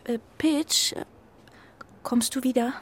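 A woman playing a homesick, loyal carrier pigeon in a children's radio play, speaking in a dreamy, hesitant, breathy way. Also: high-pitched, steady tone, takes it slowly, heavily acted. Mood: sad, hesitant.

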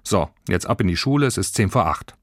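A man voicing a brisk, practical mother in a children's story. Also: lowish voice, expressive, dry recording, clean studio sound. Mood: matter-of-fact. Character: matter-of-fact mother of a schoolgirl, brisk and practical.

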